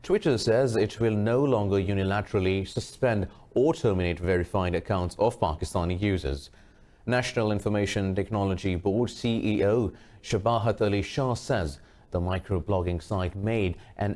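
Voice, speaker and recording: A man reading a scripted news bulletin as anchor, formal and measured. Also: lowish voice, a little mellow.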